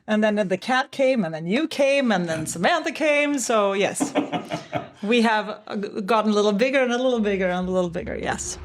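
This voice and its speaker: A woman's voice: mid-range voice, lively intonation, normal speed, slight background noise. Woman, Swedish accent, chatty guest, singer and vocal coach. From Sweden. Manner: chatty, with frequent restarts. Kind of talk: casual interview chat.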